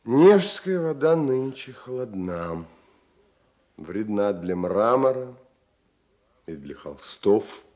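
A man's voice: on the low side, sing-song, slow, pauses often, darkish tone. An actor playing a proud, world-weary male painter with a brooding manner, in a Soviet radio drama.

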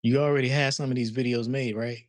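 A man's voice: medium pitch, fairly even intonation, fast, no echo. Man, 40s, coach on video call, blunt and pushing, dry close sound. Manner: direct, pushing, repeats words. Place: living room at home. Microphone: studio mic on arm, video call.

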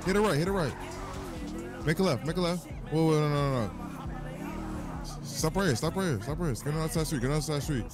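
A man's voice: a little high, lively intonation, fast talker, long pauses, over music. A man playing a crime role-play game, giving slangy, repeated instructions to his teammates. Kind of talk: gaming chat with teammates.

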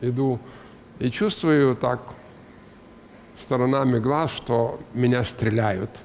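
An older man talks in a slow, musing way, full of pauses and filler sounds. His voice is gravelly and creaky. A faint hiss sits under the headset mic in a studio with an audience. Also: medium pitch, a little mellow, in a large hall. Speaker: guest actor. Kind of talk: talk show interview.

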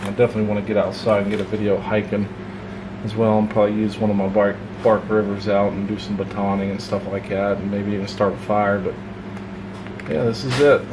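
A man casually reviewing a pocket knife at his home workbench, relaxed and folksy, with plenty of filler words. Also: lowish voice, fairly quick. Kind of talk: casual hands-on product review. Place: home workshop desk, indoors. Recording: clear hum of room noise.

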